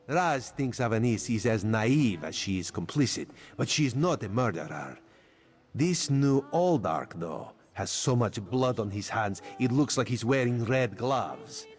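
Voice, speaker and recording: An actor playing a sly catlike spy in a video game, playfully secretive and conspiratorial, talking about himself in the third person. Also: male voice, lowish voice. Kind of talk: video game character acting.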